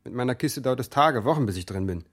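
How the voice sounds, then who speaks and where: A man's voice: medium pitch, expressive, quick pace, lightly acted. Curt, crude male computer hacker, played by a man. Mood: curt, dismissive. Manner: curt, dismissive. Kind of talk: radio play acting.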